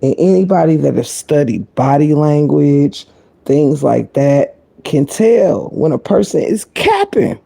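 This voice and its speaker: Woman, very gravelly, husky, deep croaky voice, sassy blunt gossip streamer, talks low. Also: lively intonation, measured pace. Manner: sassy and blunt, heavy cursing; talking low to keep quiet. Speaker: live-stream commentator. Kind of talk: casual live-stream gossip commentary.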